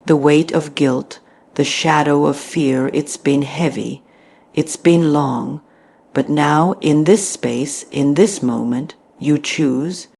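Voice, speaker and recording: A woman narrating a scripted guided meditation in a deliberate, soothing manner with frequent pauses. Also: low voice, creaky, dark tone, slightly rough.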